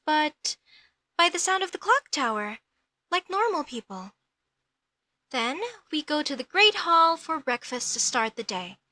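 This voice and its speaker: Woman playing a bright, high-voiced young elf mage. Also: dry recording, heavily acted. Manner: questioning, slightly haughty, halting. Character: curious outspoken young female elf mage, stranded in human world. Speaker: voice actor in audio roleplay. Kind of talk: comedic audio roleplay acting.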